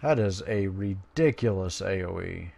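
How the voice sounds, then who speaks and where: A man's voice: low-pitched, lively intonation, unhurried, creaky, mellow. A man giving casual, playful, joking commentary on his own video game play. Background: video game sounds.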